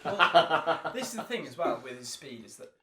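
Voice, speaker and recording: A man's voice: on the high side, very animated, moderate pace, crowd noise. A man in casual podcast banter, explaining things in a pedantic, mock-scientific way. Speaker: podcast guest. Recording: noisy, echoey room.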